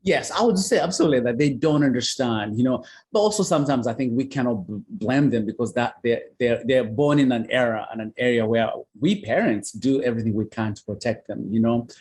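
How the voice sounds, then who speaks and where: Earnest male guest, husky mid-range voice, recounting his past in plain, heartfelt speech. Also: medium pitch, fairly quick, hardly any pauses, a little mellow. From Uganda. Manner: earnest, leans on "you know". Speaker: guest, author and foster father. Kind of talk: interview storytelling.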